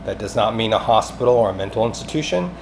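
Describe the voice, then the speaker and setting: A man's voice: lowish voice, lively intonation, fast. A man leaving a rambling voicemail, pleading in an agitated, insistent, repetitive way with some swearing. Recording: clearly noisy amateur phone-call recording.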